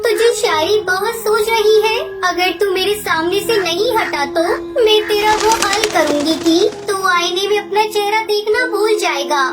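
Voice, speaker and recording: A woman voicing a small, plucky, caring mother songbird in a children's cartoon, earnest and expressive. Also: extremely high pitch, a little slow, few pauses. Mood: indignant. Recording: loud music under the voice.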